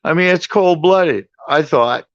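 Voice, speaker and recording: Man, panel debate, blunt, insistent, smooth voice, dull dry sound. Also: on the high side, a little slow, no room sound. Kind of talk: heated casual debate. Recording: dull lo-fi line.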